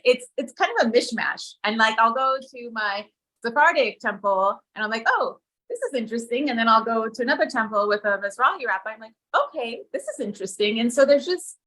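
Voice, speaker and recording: Bright-voiced woman chatting informally. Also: a little high, expressive, brisk. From born in Israel, moved to America as a child. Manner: informal, hesitant, thinking aloud. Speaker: guest, community leader and activist. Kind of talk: casual interview conversation.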